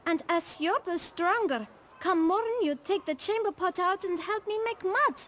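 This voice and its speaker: Woman as very high-pitched cheeky street boy. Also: normal speed, fairly bright tone. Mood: eager, cajoling. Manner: glib, eager, mock-polite. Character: cheeky young bootblack boy, wily street hustler. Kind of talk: video game cutscene acting.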